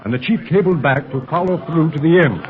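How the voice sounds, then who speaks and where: An actor playing a steady adult secret agent who leads the team, sounding calm and assured, in a 1930s radio serial. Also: male voice, on the high side, fast talker, lightly acted. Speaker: hero's uncle and agent. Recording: muffled vintage radio recording.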